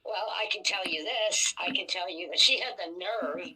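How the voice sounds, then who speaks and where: A woman with a rough, husky voice and a bright, hard edge, on the lower side for a woman. She vents in a casual, emotional flow, swearing freely and putting herself down. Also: normal speed, low-quality recording. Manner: blunt, profane, self-deprecating.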